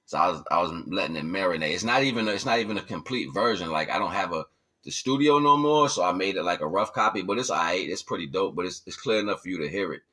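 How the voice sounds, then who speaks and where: A man's voice: sits low, lively intonation, quick pace, sharp tone. A man talking casually as a livestream host, in slangy, informal speech, often checking in with his listeners. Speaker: livestream host and hobby musician.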